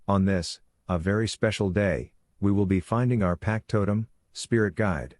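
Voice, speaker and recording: A man voicing a calm, authoritative werewolf pack leader who lectures in a measured, instructive way for a fantasy audiobook. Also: lowish voice, no room sound, pitched below the performer's own voice, close to an everyday voice. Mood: patient authoritative.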